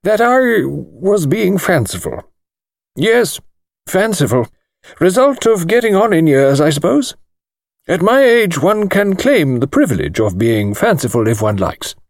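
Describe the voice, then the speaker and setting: Elderly British gentleman lawyer: thin, high little voice, precise, musing, storybook mystery. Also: big pitch swings, vocal fry, dry recording, clean studio sound, heavily acted. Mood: musing, apologetic. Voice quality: thin, small voice. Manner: precise, hesitant, deliberate.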